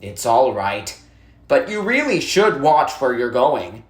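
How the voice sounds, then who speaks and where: A narrator acts out a young boy from a storybook, blunt yet friendly. He can snap sharply or soften, and his words come through with a roomy echo. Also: mid-range voice, very animated, takes it slowly. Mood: softened, sad. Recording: roomy, echoey sound.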